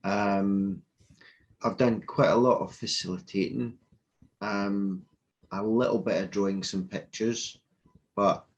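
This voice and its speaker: Slow, deep-voiced man. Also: muffled recording. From England, UK. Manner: relaxed, hesitant with many ums. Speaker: workshop participant. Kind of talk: casual self-introduction. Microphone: video call.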